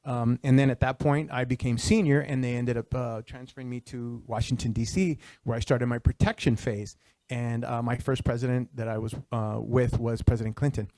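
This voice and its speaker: A man's voice: mid-range voice, gravelly, darkish tone, no room sound. Man telling his career story on a panel. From El Paso, Texas. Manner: conversational, many restarts and fillers. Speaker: panelist, retired Secret Service official. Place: auditorium stage before an audience. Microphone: handheld microphone.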